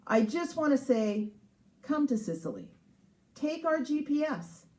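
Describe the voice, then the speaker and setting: A woman's voice: high voice, big pitch swings, unhurried, a little mellow. A woman reads her humorous memoir aloud as its author, in a sarcastic, emphatic, mock-exasperated manner. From Canada. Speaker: author reading from own book. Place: live reading at a showroom.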